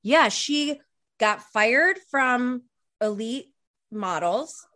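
A woman's voice: on the high side, lively intonation, extremely slow, fairly bright tone. A woman of about forty co-hosting a casual reality TV recap, gossipy and prone to rambling tangents. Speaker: podcast co-host.

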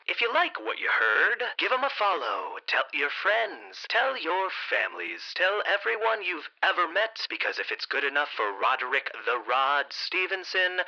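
Male radio announcer, lively pitch, dull radio sound. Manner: jokey, lively announcer patter. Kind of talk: playful radio sign-off.

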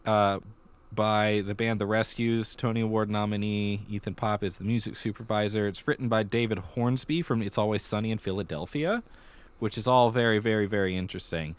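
A man with a fairly low voice co-hosts a podcast. He talks casually and explains things in an easy conversational flow, like someone filling in a friend on the news. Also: clean tone. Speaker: theater podcast co-host. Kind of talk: casual chat about musical theater news.

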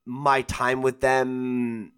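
A man's voice: mid-range voice, flat delivery, very slow, fairly bright tone, smooth. A man in his twenties co-hosting a gaming podcast, chatting casually and rambling with lots of fillers and restarts. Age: 20s. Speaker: podcast co-host. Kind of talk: casual chat.

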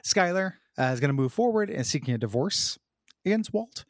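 A man with a froggy, slightly hoarse voice chats casually as a podcast co-host. He talks around a sore tooth, so some sounds come out a little unusual, and he stops and restarts often. The recording is close and dry. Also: fairly high pitch, very animated, no room sound. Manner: halting, lots of fillers and restarts. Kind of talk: casual chat.

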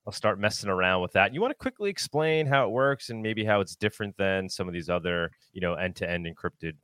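A man with a low-pitched voice hosts a podcast in a casual, conversational way. The sound is dry and close, with no room echo. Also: animated, brisk, fairly bright tone. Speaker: podcast host. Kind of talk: casual interview chat.

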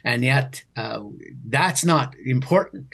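A Canadian man giving conversational political commentary as a guest, with a husky voice and a halting, rephrasing way of explaining. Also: mid-range voice, expressive, a bit bright.

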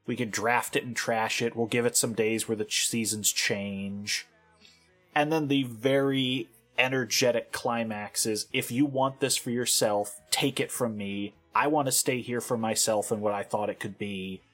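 A woman in her thirties discussing albums casually as a podcast co-host, giving measured, considered opinions. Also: a bass voice, fairly even intonation, soft background music.

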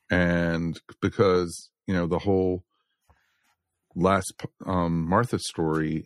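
A man's voice: low-pitched, no echo, studio quality. A man chatting casually as a co-host on a review podcast. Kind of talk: casual chat.

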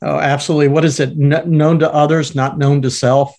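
Hesitant baritone man co-hosting a podcast. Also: level tone, a little fast, a little mellow. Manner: hesitant, many uh fillers and restarts. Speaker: podcast co-host. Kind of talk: casual conversational podcast.

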